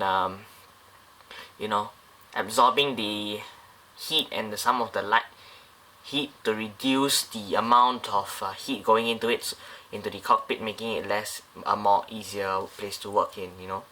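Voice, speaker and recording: A man's voice: on the low side, measured pace, bright, forceful tone, poor audio. Chatty man reviewing a model plane. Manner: rambling, many fillers and restarts. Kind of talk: casual product review.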